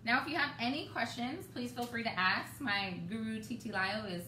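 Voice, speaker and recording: A woman's voice: a little high, normal speed. Chatty woman cooking in a roomy kitchen. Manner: chatty, relaxed, laughs often. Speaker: cooking show host. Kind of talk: live cooking demo. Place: home kitchen, indoors. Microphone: camera across the kitchen. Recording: roomy, distant, noisy home kitchen.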